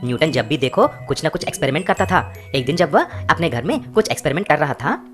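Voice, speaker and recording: Low, lively woman storyteller. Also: fast. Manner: expressive storytelling. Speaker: narrator. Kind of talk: children's story narration. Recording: music bed behind.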